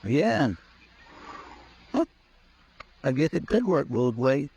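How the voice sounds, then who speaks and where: A folksy frontier sidekick talks in plain country speech for a 1950s radio drama. His voice sits at a middle pitch and sounds easygoing. The old broadcast has a dull, muffled sound. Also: very animated, takes it slowly, vocal fry, darkish tone, husky, heavily acted. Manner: plain-spoken country talk.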